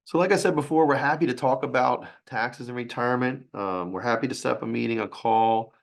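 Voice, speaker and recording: A man with a raspy, hoarse voice from a sinus infection, presenting a financial webinar in a halting way. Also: mid-range voice. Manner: halting, with restarts and fillers.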